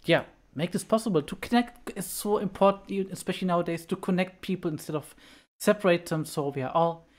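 A woman hosting a convention interview, who asks questions in a gentle, earnest and sometimes halting way. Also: on the low side. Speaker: convention host.

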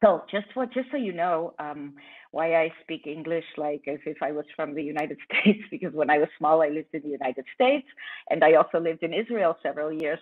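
A woman's voice: low-pitched, brisk. A woman in her fifties from Argentina, a tour guide giving a friendly, chatty conference talk over a video call with many ums and restarts. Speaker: tour guide presenting online. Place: indoor room at home. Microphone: laptop webcam on a video call. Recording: tinny video call audio.

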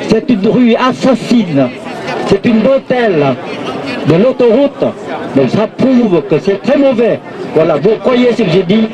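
An older man from Vietnam gives a halting speech at an outdoor rally into a handheld mic, checking in with the crowd again and again. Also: sits high, poor audio. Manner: halting, repeats "vous voyez?" to the crowd. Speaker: protest speaker. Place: outdoor street rally in a city square. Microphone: handheld mic through a loudspeaker. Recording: open-air rally with crowd noise. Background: crowd of protesters.

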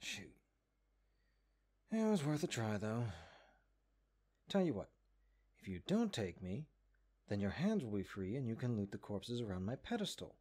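A man's voice: fairly low pitch, big pitch swings, a little slow, pauses often, mellow. A man voicing a haughty, exasperated magic book in a comedic audio roleplay, condescending and sarcastic. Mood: scheming, coaxing. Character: exasperated, haughty talking cursed grimoire, a sentient magic book.

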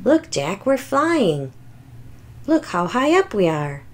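A woman voicing an anxious, boastful but loving little toy T-rex in a picture book, with exaggerated, expressive delivery. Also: medium pitch, slow pace. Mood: delighted. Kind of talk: children's picture book read-aloud.

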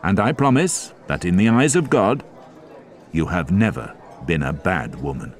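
A kind mayor in a storybook reading, soft and firm, his voice low and expressive, voiced by a male narrator. He reassures gently without giving ground. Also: unhurried. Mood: gentle.

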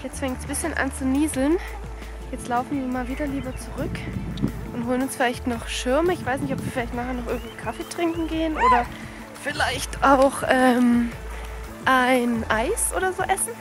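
A woman's voice: high voice, moderate pace, music in the background. Chatty woman vlogging outdoors by a lake. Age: early 30s. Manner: chatty, loud when calling the dog. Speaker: vlogger and mother. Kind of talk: casual walk-and-talk vlog. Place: outdoors on a lakeshore beach. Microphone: handheld camera at arm's length.

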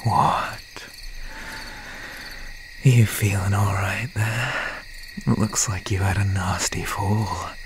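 An obsessed slasher killer, acted by a man for a horror ASMR audio drama. He talks slowly and softly, often slipping into a whisper, falsely friendly and full of menace. There is noise and ambience around the voice. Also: lowish voice, steady tone. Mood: gloating, menacing. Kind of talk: ASMR horror roleplay, 90s slasher pastiche.

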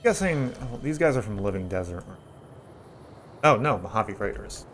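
A man's voice: lowish voice, animated, moderate pace, fairly bright tone, a little hiss or hum. A man giving casual, rambling gameplay commentary with a wry manner and some mild swearing. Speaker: gaming video host playing Fallout. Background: video game music.

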